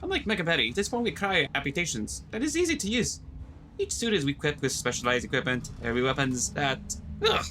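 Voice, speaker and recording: A man playing a jaunty, excitable inventor in an audio drama. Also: fairly high pitch, fairly quick. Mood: proud, enthusiastic. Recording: faint background noise and ambience.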